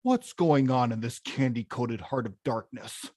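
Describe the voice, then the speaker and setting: A woman voicing a big, hot-tempered but caring male video game villain in a script read, with a blunt, gruff delivery. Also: rumbling low, expressive, measured pace, mellow, no room sound, clean studio sound, exaggerated voice. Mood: menacing, demanding. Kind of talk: animated film script table read.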